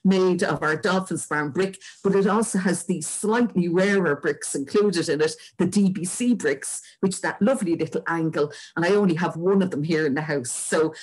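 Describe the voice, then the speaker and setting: An older Irish woman presents local history as an informal illustrated lecture. Her voice sits fairly low and has a husky grain. She speaks from home into a webcam on a video call. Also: moderate pace, hardly any pauses, poor audio. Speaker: local historian giving a talk. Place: indoors at home.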